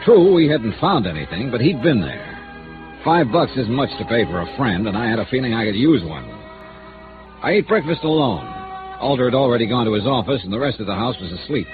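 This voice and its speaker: An actor playing a wry American insurance investigator with a dry, clipped, wisecracking delivery in a 1950s radio noir drama. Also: male voice, medium pitch, big pitch swings, brisk, over music, close to an everyday voice. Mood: wry narration. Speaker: detective narrator and lead. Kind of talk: 1950s radio noir acting, first-person narration. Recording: tinny, band-limited old radio recording.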